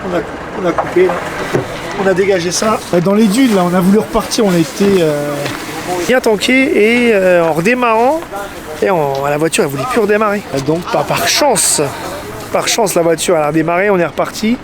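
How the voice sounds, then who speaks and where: A man's voice: high voice, expressive, a little fast, a crowd in the background. A man vlogging from a desert rally car, chatting and joking loosely, with a rambling, playful manner. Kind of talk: casual joking commentary. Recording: loud engine and road noise.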